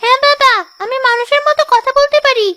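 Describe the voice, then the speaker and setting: A woman's voice: very high-pitched, steady tone, quick pace, bright, forceful tone. A woman voicing a talking pet goose in a cartoon, with the sweet manner of a childlike daughter. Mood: sweet, reassuring. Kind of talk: Bengali cartoon story dialogue.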